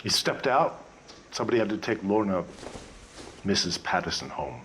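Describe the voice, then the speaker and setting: An actor playing a guarded, world-weary bartender who answers a detective in short, wry lines. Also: male voice, fairly low pitch, very animated, normal speed, a bit bright, a little hiss or hum.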